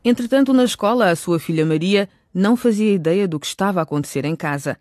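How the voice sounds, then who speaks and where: A man's voice: high voice, expressive, fast talker, darkish tone, no room sound, clean studio sound. A man narrating a radio soap in a scripted, presenting style.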